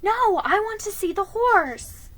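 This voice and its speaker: A woman's voice: very high voice, animated, takes it slowly, a bit bright, voice pushed up, exaggerated voice. A woman voicing a spirited, earnest young girl in a storybook reading. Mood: insistent. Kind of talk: storybook character voice.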